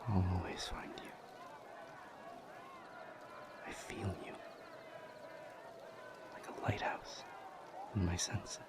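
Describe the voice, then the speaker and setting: Man acting as a male demon lover, deep voice, unhurried pace, protective, urgent, audio roleplay. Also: little pitch movement, heavy background noise. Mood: protective, tender. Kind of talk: intimate dramatic audio roleplay.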